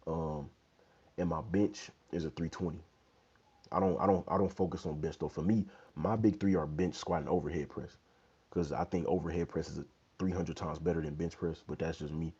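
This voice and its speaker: A young man with an extremely low, dark and husky voice chats casually on a livestream, loose and slangy, tossing in "you know what I'm saying" again and again. He talks into a wired earbud mic in a small bedroom, and the phone audio sounds dull and lo-fi. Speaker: fitness livestream host. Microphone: wired earbud mic, phone held low.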